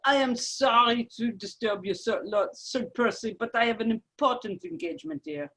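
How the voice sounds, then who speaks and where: A husky, fairly high voice for a scheming male French ambassador played by a woman in a radio play. The speech is formal and curt, with impatience under strained politeness. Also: moderate pace, a bit bright. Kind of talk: radio play table read.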